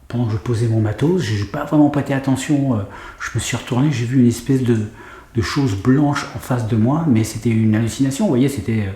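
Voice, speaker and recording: A man's voice: mid-range voice, mellow, reverberant. A man with a head cold talking hesitantly to camera as he investigates an old house, with many fillers. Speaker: paranormal investigator vlogger. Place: large room in an old house, indoors.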